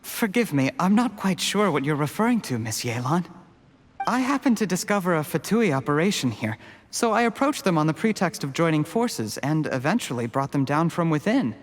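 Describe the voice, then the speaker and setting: An actor playing a scheming male official who calmly gloats over his victim, with a menacing, measured delivery. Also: fairly high pitch, a little hiss or hum. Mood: polite. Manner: calm, menacing, drops to a hushed whisper. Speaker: game character.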